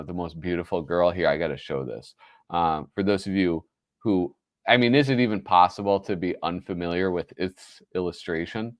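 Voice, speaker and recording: A man's voice: low-pitched, dry recording, clean studio sound. A man with a nasal voice hosting a casual livestream chat, rambling and correcting himself with lots of fillers. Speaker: host.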